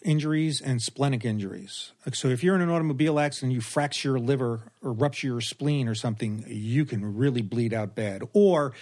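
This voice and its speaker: Older man explaining surgery calmly. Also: medium pitch. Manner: measured, repeats words while explaining. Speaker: surgeon guest. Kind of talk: casual explanatory chat.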